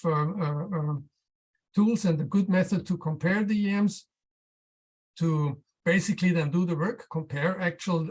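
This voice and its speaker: A researcher presents technical material in a medium-pitched voice. The delivery is explanatory and loosely prepared rather than read out. Phrases come haltingly, with words repeated while the next thought is put together. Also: a little mellow.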